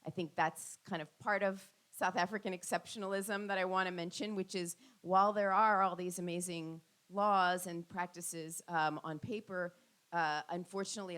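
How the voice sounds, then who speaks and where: A woman's voice: lowish voice, a bit bright. A middle-aged woman academic answering audience questions on a panel, thoughtful and full of ums and restarts.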